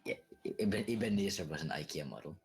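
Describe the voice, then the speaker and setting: A man's voice: low-pitched, animated, fast talker, breathy edge, soft background music. A man playing a tabletop game, chatting casually with blunt, dry remarks. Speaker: player.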